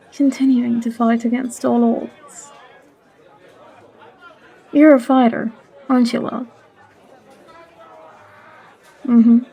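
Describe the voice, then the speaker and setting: A woman playing a warm, teasing barkeep and fortune teller in a character audio roleplay, coaxing and unhurried as she calls the listener love. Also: a little high, husky, heavily acted, poor audio. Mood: gentle, encouraging.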